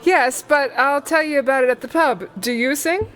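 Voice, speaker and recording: A woman's voice: high voice, moderate pace, fairly bright tone, poor audio. A woman playing a candid, discreet crew member in a sci-fi audio drama. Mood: thoughtful, confiding.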